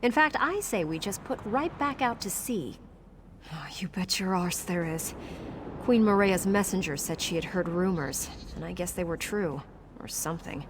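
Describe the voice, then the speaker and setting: A woman's voice: lowish voice, lively intonation, moderate pace, noisy background, natural voice. A woman voicing a brash, sarcastic sailor in a video game, with a wry, informal manner. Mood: uneasy, wry. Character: brash, sarcastic female sailor on a ship's crew. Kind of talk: video game character dialogue.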